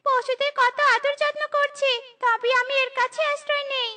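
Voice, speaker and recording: A woman's voice: very high voice, fairly even intonation, normal speed, sharp tone, no echo. A woman voicing a magical goose in a children's cartoon, earnest and plaintive, wary of greedy folk. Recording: music behind the voice.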